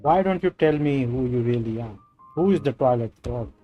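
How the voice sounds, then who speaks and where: A man's voice: mid-range voice, lively intonation, moderate pace, muffled recording. A man with an Indian accent, a native Hindi speaker, casually streaming a game and reading its text aloud. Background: game music.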